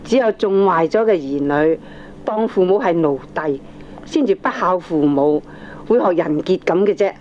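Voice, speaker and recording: An actress with a Hong Kong accent playing an opinionated middle-aged aunt, lecturing firmly and emphatically in a radio drama. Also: female voice, lowish voice, expressive, normal speed, darkish tone, close to an everyday voice. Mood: preachy, assured. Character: opinionated aunt, firm, preachy family adviser. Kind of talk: Cantonese radio drama acting. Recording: dull, slightly noisy old cassette recording.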